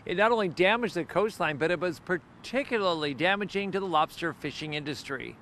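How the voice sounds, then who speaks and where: A man in his sixties reporting live outdoors on a beach with a handheld mic, in a brisk, emphatic news delivery. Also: sits high, animated, noisy background. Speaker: field reporter.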